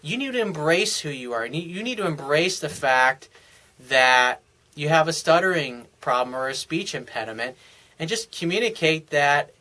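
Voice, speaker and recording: Emphatic, bright-voiced male dating coach. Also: a little high, animated, moderate pace, poor audio. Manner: emphatic and blunt, swears freely; repeats and restarts phrases as he talks. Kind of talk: monologue answering a viewer's question.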